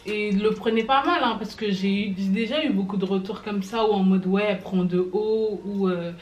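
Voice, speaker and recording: A young woman, a native Lingala speaker, chatting casually with her husband on a couples vlog, reserved and soft-spoken. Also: medium pitch, moderate pace, echoey room, low-quality recording. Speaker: vlogger. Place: living room with fireplace screen.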